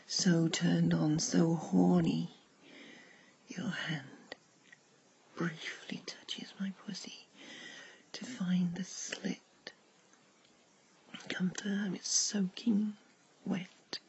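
A woman mostly whispering in a breathy voice, narrating an intimate adult audio roleplay to the listener. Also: on the low side, a little mellow, dull audio.

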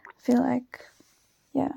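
A young woman with a Dutch accent whispering softly and shyly into a close microphone, giggling often and hesitating with many fillers. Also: takes it slowly, dry recording. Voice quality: soft whisper. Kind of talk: whispered personal ramble, ASMR-like.

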